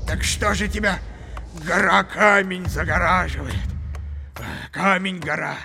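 Wailing, creaky gluttonous ogre. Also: male voice, high voice, steady tone, background noise, voice pushed up. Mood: anguished, questioning. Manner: drawn-out wailing questions with repeated calls. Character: gluttonous male ogre, dying, pleading and questioning.

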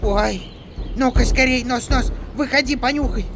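A man's voice: extremely high pitch, measured pace, creaky, background noise, pitched above the performer's own voice, heavily acted. A man voicing an anxious, bossy old village mother in a folk tale, with music behind. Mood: urgent, anxious. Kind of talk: storybook character voice in a folk tale.

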